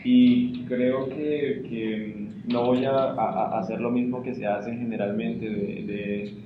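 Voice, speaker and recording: A man's voice: mid-range voice, fairly even intonation, takes it slowly. A man, politely and somewhat hesitantly hosting an informal interview. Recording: muffled, echoey, noisy room recording.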